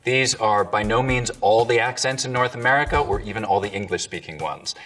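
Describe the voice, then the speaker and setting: A man who works as a dialect coach gives a clear, measured, scripted explanation in an educational video. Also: lowish voice, fairly bright tone. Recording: distant tinny video audio with faint music.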